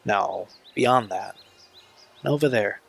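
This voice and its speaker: A man's voice: lowish voice, takes it slowly, creaky, husky, full character voice. A man playing an easygoing, supportive young friend in an audio drama, speaking casually. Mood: gently curious. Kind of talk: audio drama dialogue, naturalistic amateur acting.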